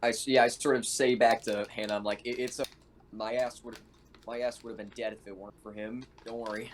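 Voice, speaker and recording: A man playing a tabletop roleplaying game, talking and narrating in a halting, conversational way. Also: mid-range voice, flat delivery. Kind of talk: casual in-character roleplay and narration. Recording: noisy room with keyboard clicks.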